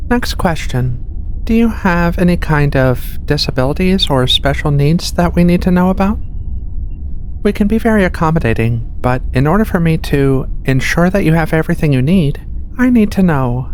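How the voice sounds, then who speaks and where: A woman voicing a patient alien feline researcher in a soft-spoken ASMR roleplay, with hesitant, reassuring questioning over a ship-like ambient rumble. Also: animated, normal speed. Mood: patient, clinical. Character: female alien feline researcher, patient, clinical, gently playful. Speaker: medical examiner.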